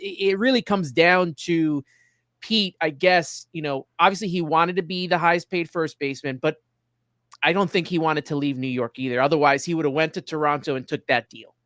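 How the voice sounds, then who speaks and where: Higher-voiced man hosting sports talk. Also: a bit bright, no room sound, studio quality. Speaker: sports show host.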